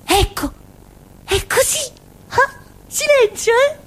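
An actress playing a naive, childlike village simpleton girl with a Calabrian accent, in a halting, repetitive theatre monologue. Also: very high voice, fairly bright tone, full character voice. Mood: excited, proud. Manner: simple, repetitive, halting, with questioning tags and giggles. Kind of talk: theatrical monologue in colloquial dialect-tinged Italian.

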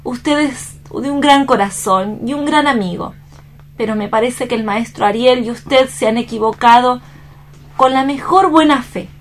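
A woman's voice: fairly high pitch, lightly acted. An actress playing a distraught but resolute young woman in a radio drama, speaking earnestly and solemnly. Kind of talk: dramatic staged radio reading.

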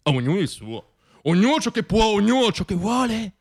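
A man's voice: sits high, very animated, brisk, slightly rough. A man performing a theatrical comic monologue as a storyteller, wry and self-deprecating, repeating words for effect.

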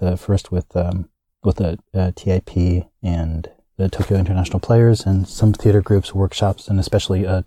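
A man talks casually in an interview, wandering through his answers with plenty of "uh"s and restarts. His voice sits low, with a very dark, mellow tone. The sound is dry and close. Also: no room sound. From United States. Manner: rambling, many fillers and restarts, laughs often. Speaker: guest.

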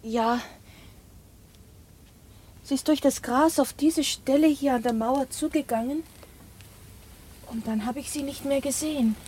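An actress playing a sheltered, earnest and wistful young noblewoman in a radio drama, with a breathy voice. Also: sits high, unhurried, long pauses, a little mellow. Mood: earnest, uneasy. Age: young adult.

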